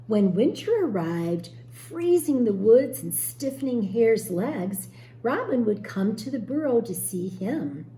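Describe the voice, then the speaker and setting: A woman reads a picture book to children like a teacher, with lively pitch and an unhurried pace. She stops to explain and question. The room is echoey. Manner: questioning, explains words to young listeners. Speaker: teacher reading a storybook aloud. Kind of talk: expressive children's read-aloud with explanatory asides. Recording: roomy echo, faint background noise.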